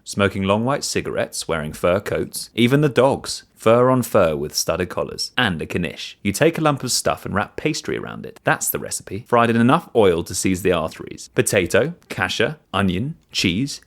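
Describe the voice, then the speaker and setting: Deep-voiced, animated male story narrator. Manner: expressive, storytelling. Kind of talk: first-person short story reading.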